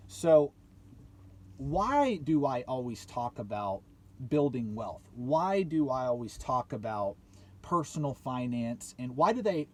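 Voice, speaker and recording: A man in his late twenties giving a motivational vlog talk to viewers, speaking emphatically, repeating points and asking rhetorical questions. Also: mid-range voice. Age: late 20s. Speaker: YouTube channel host.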